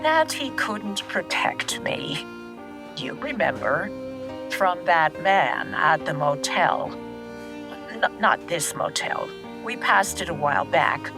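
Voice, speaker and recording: An elderly grandmother in an audio drama, candid and steady as she recalls her past. Her delivery is unhurried and reflective, with expressive swings in pitch. Faint music sits behind the voice. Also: exaggerated voice. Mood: gentle insistence. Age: older. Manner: reflective, measured storytelling.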